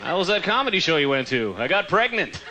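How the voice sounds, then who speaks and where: A man in his thirties from Trinidad, raised in Canada, performing an animated, conversational stand-up comedy set on a handheld mic in a theater. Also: high voice, fast, over a noisy crowd. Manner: animated, conversational, slangy delivery. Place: large theater stage before a live audience. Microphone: handheld stage mic. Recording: live theater audio with audience noise.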